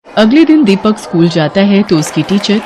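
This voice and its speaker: A woman's voice: medium pitch, very animated, moderate pace, warm tone, some chatter behind, slight background noise. A woman storyteller reading scripted narration for a children's moral story.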